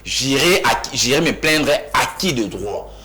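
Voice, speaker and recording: A man from Kinshasa, a panelist in a heated studio debate who cuts in, repeats himself and rises almost to shouting. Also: mid-range voice, expressive, measured pace. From Kinshasa, DR Congo. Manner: insistent, interrupts, repeats himself emphatically, rising to near-shouts.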